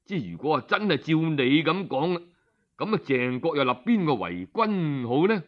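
A man's voice: fairly high pitch, very animated, normal speed, highs cut off. A man with a Cantonese accent playing a blunt old minister in a story, frank and full of questions. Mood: musing.

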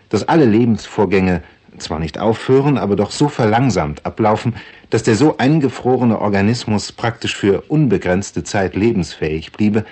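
A man with a deep, somewhat rough voice reads a scripted radio introduction. His delivery is measured and explanatory, in the manner of a lecture. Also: darkish tone. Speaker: introductory speaker of a radio play.

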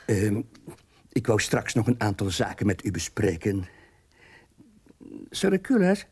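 Husky, effusive Venetian count. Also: male voice, lowish voice, very animated, measured pace, a little mellow, full character voice. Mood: eager, gracious. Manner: gracious, eager to please. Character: effusive, courteous Venetian count, father of a grown daughter. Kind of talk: radio drama dialogue.

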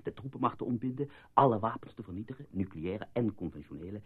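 Urgent reporter guy in a radio drama, low husky voice, old phone-like sound. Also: lively intonation, fast talker. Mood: grave, explanatory. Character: urgent male newspaper journalist investigating a deadly cover-up. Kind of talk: Dutch radio play acting.

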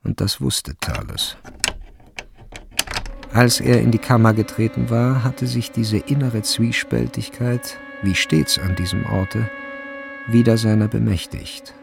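A male narrator of a literary radio drama with a low, gravelly voice. He reads in a measured, deliberate way over faint music. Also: steady tone.

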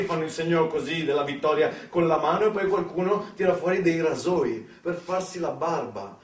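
A man performing a dramatic theatrical storytelling monologue, emphatic, with deliberate pauses and repetitions. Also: mid-range voice. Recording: roomy, distant mic, faint background noise.

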